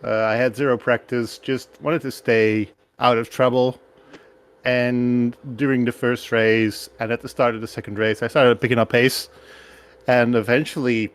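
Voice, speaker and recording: A man's voice: medium pitch, little pitch movement, moderate pace. A man who speaks English as a second language, answering a post-race interview as a guest driver. He often hesitates and searches for words. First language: not English.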